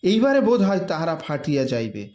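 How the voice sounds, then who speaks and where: A man with a somewhat high voice narrates dramatic literary prose. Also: big pitch swings, a little fast, darkish tone. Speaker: narrator reading a literary short story.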